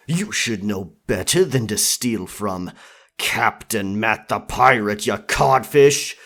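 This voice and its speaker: A sadistic pirate captain in an ASMR roleplay. His voice is deep and rough-edged, and he drags his words out very slowly. He taunts and menaces in salty pirate slang. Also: animated, a bit bright, full character voice. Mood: gloating.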